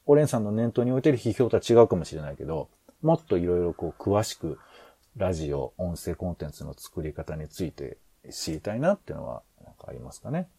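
A man's voice: sits low, big pitch swings, brisk, gravelly, dark tone, husky, no room sound. A man co-hosting a podcast in casual planning discussion, hesitant and rambling as he thinks aloud. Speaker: podcast co-host.

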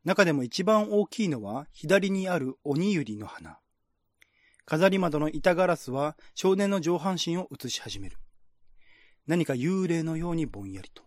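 A man's voice: a little high, animated, a little slow, no room sound, clean studio sound. A man reading scripted narration in a radio-drama style, as the narrator of a screenplay.

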